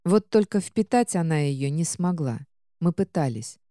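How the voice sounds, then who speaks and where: Woman reading an audiobook novel, lowish mellow voice, super dry sound. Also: expressive, measured pace, no echo. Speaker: audiobook narrator. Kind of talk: scripted first-person fiction narration.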